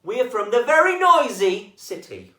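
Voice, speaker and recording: A storybook reader voicing a trio of noisy, eager foxes in a high voice that keeps dropping to a whisper. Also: big pitch swings, normal speed, fairly bright tone. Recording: roomy echo, voice far from mic.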